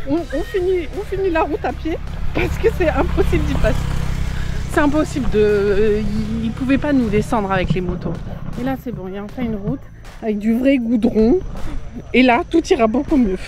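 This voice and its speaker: A woman speaking as a travel vlogger, in casual, expressive, slangy chat. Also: fairly high pitch.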